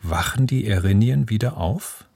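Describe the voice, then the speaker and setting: Low-pitched male radio announcer, standard German. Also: expressive, normal speed, vocal fry, a little mellow, no echo. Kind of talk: scripted introduction.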